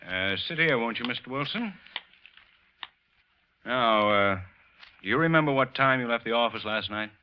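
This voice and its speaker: A man's voice: mid-range voice, lively intonation, normal speed, long pauses, lightly acted. A man plays a slyly probing police detective in a 1940s-style radio drama. His delivery is casual and insinuating, with a very varied melody. The old recording is dull, with faint noise. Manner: deceptively casual, folksy, insinuating. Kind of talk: old-time radio suspense acting. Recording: muffled, noisy vintage radio recording.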